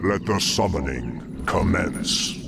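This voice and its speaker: Very deep, sinister dreadlord demon. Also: male voice, lively intonation, vocal fry, heavy background noise, full character voice. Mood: smug, resolute. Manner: measured, haughty. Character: sinister male dreadlord demon, haughty scheming servant. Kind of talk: dramatic video game villain acting.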